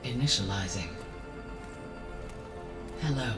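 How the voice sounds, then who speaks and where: A performer playing a calm, solemn artificial intelligence in a video game, measured and formal, distant and echoey under music. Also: mid-range voice. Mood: serene.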